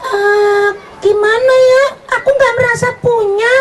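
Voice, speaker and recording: A storyteller voicing a pious, stubborn, innocent little girl in a live children's story, with exclamations and drawn-out words. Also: extremely high pitch, steady tone, over music, voice pushed up. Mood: firm, upset. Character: pious, honest little girl minding a poultry farm.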